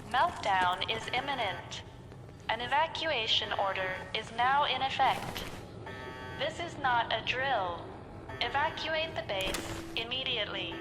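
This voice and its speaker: A woman voicing an impersonal base announcement system in a video game, formal and measured, giving emergency notices. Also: fairly high pitch, animated, over music, low-quality recording. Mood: formal, urgent.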